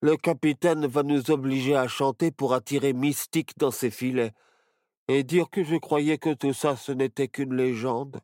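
A man voicing a brave, earnest child in a children's storybook, speaking in an excitable, exclaiming way. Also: medium pitch, normal speed, no room sound, studio quality, voice pushed up, lightly acted. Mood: worried. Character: brave, earnest young boy and his anxious child friends.

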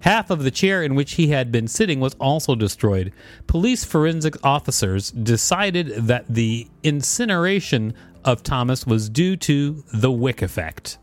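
A man reads an article aloud as a co-host, his pitch swinging widely. He slips into casual, joking asides, and soft music plays behind him. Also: animated, moderate pace.

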